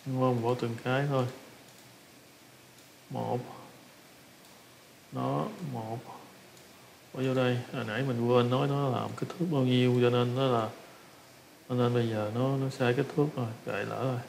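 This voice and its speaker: Gravelly, flat-toned Southern Vietnamese man teaching. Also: medium pitch, a little hiss or hum, low-quality recording. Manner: improvised, halting, repetitive step-by-step explaining. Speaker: tutorial instructor. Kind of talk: casual screen-recorded software tutorial.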